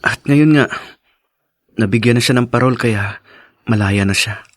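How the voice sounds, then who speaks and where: An actor playing an earnest, pleading husband in a Filipino radio drama, hesitant and tender. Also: male voice, medium pitch, unhurried, no echo, clean studio sound, lightly acted. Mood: earnest, explaining. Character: earnest, pleading husband, devoted son of an ex-convict.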